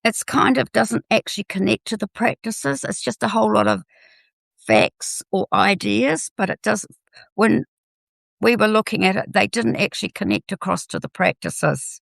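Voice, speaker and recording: An older New Zealand woman, croaky with a cold, her voice heavily gravelly with fry. She talks in an earnest, halting way, restarting her phrases, and the sound is close and dry. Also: mid-range voice, fairly bright tone, no echo. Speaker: health education guest. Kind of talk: live online discussion.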